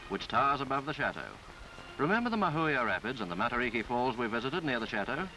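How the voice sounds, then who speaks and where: A man reading a scripted 1950s newsreel travelogue in a formal, florid, measured way. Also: medium pitch, bright, forceful tone, rough recording. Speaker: newsreel narrator. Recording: 1950s film soundtrack with background music. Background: music.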